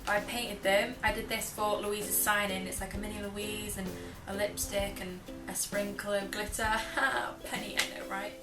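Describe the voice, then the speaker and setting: A woman's voice: medium pitch, flat delivery, moderate pace, recorded from a distance. Bubbly young woman, roomy off-mic vlog. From North Wales. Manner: bubbly, self-deprecating, drops into whispers. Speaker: vlogger. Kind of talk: casual chatty vlog. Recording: roomy and off-mic with music behind.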